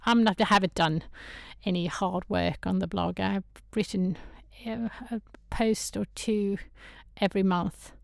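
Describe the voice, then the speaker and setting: A woman's voice: mid-range voice, fairly even intonation, unhurried, gravelly, few pauses, no echo, studio quality. A woman from Sweden with a Swedish accent, a guest in a casual interview who talks with hesitant restarts and frequent laughter. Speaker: guest, toy photographer.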